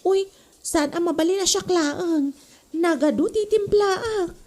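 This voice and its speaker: A woman's voice: extremely high pitch, unhurried, a little mellow. A woman playing a gossipy office co-worker in a comedy audio drama. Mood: nagging.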